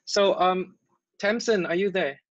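A man hosting a live online workshop over a video call, talking haltingly with many restarts. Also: high-pitched, no room sound. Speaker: workshop host. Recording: dull lo-fi call audio.

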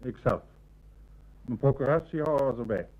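Man playing a husky, formal bank manager. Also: mid-range voice, moderate pace, narrow, tinny audio, close to an everyday voice. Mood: formal, firm. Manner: formal, firm. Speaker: actor in a radio play. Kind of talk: Dutch radio play acting.

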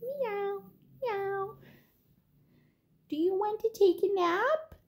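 A young woman voicing friendly storybook farm animals, with drawn-out animal calls and playful questions. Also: very high-pitched, voice pushed up, heavily acted. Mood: playful, calling. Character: friendly farmyard animals calling out and inviting play.

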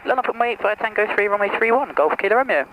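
A man's voice: high-pitched, animated, quick pace. A man making clipped pilot readbacks to air traffic control through a headset in a light aircraft. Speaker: pilot making radio calls. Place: small light aircraft cockpit. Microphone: aviation headset boom mic. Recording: noisy aviation headset radio.

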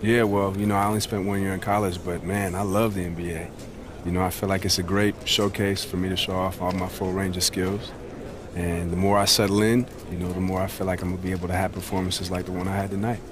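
Man acting as low-voiced young American rookie athlete. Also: level tone, fast talker, background noise, close to an everyday voice. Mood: confident. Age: young adult. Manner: laid-back, colloquial. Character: confident, easygoing young male rookie basketball star. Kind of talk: naturalistic video game cutscene acting.

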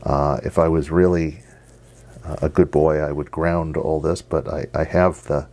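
Casting: male hobby presenter, very deep and mellow, halting casual explanations, slight background noise. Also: level tone. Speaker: hobbyist video host. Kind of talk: casual hands-on demonstration. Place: indoors at a wooden desk. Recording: faint hum and background noise.

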